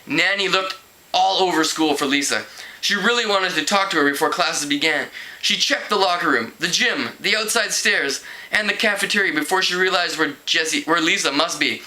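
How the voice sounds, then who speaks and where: A man's voice: fairly high pitch, moderate pace, pushed and bright, low-quality recording. A man casually reading a teen novel aloud as an amateur, stumbling over words and repeating phrases. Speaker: amateur book reader.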